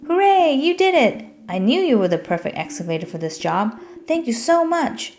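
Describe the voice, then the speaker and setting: A woman voicing an excitable, desperate and grateful little male mouse in a children's storybook. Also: sits high, moderate pace, soft background music, voice pushed up. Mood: overjoyed. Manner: pleading, excitable.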